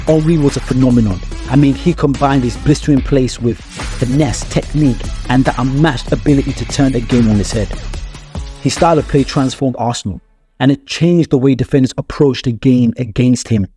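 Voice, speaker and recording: Male sports video narrator, warm relaxed tone, emphatic scripted delivery, light music bed. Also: medium pitch, brisk.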